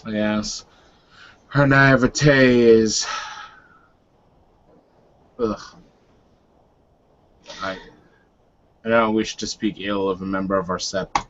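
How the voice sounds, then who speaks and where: A man playing a weary, coolly menacing male werewolf leader who talks in a halting, guarded, dry way. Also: on the low side, steady tone, a bit bright, dull audio.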